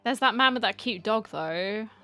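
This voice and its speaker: Female gaming host, mid-pitched voice, casual reaction commentary, informal, musing aloud, faint game music behind. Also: lively intonation, moderate pace, pushed and bright, clean tone.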